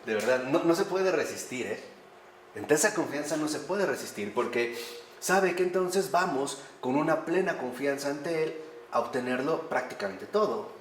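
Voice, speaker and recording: Male lecturer, animated, asks the audience rhetorical questions, echoey room with faint background hum. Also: on the high side, normal speed. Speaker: priest teaching a class. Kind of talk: conversational lecture on faith.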